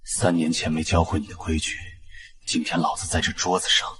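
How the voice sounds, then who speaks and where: A man's voice: low-pitched, flat delivery, brisk, close to an everyday voice. A man playing a possessive, seductive and menacing character in a melodrama. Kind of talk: dramatic audio drama acting.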